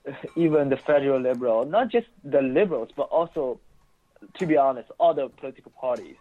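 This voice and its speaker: A man's voice: mid-range voice, expressive, a little fast. A Chinese-accented male host, native Chinese speaker, chatting through politics on a community outlet's interview show, heard as if down a narrow telephone line. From Chinese-speaking region, immigrated to Canada. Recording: thin phone-like sound.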